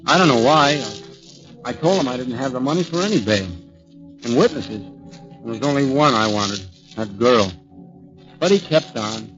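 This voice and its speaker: A man's voice: fairly low pitch, close to an everyday voice. Actor as bewildered young American man, old radio sound. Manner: hesitant, plain-spoken, stammering when pressed. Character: bewildered young man accused of murder, earnest and defensive. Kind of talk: 1940s radio drama acting, with first-person narration. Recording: dull 1940s radio recording, music behind.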